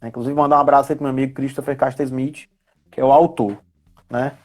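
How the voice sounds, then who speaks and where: Brazilian guy on a live chat, mid-pitched voice, explaining stuff step by step, lots of little check-in questions. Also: level tone, quick pace. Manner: didactic, frequent tag questions. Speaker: guest expert on tabletop role-playing games.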